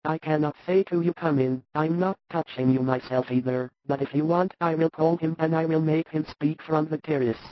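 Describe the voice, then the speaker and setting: Man as pleading wife, soft, imploring, raised pitch, scripture drama, slightly dull recording. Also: steady tone, normal speed, hardly any pauses, no echo, close to an everyday voice. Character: weeping devoted wife pleading for her sick husband.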